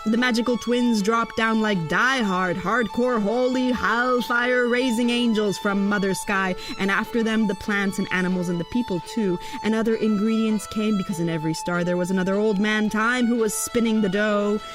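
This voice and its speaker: A woman tells a poetic tale in a slow, incantatory, drawn-out voice of middle pitch. Her words unfold like a ceremony while soft instrumental music plays faintly beneath her. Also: fairly even intonation. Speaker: storyteller. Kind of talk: slow poetic spoken-word narration.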